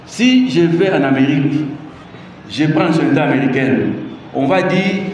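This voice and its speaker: A middle-aged man with a Congolese French accent speaks to a crowd with emphatic repetition and pointed rhetorical questions. His voice sits a touch high for a man. It is recorded from a distance in a big hall with a long, booming echo and a faint hum of ambience. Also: moderate pace, darkish tone. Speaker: presidential adviser giving a public talk. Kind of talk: public speech on the constitution. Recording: echoey hall, mic far off.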